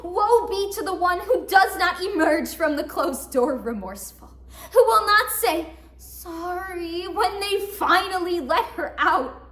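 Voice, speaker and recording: In an echoey room, a young woman performs a bitter woman confined in a mental hospital. Her voice is high and slow, delivered as a stage monologue. She is sardonic and theatrical, moving between hushed confiding and loud emphasis. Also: lively intonation, full character voice. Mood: indignant. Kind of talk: dramatic monologue, competition interpretation. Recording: roomy echo, faint noise, distant mic.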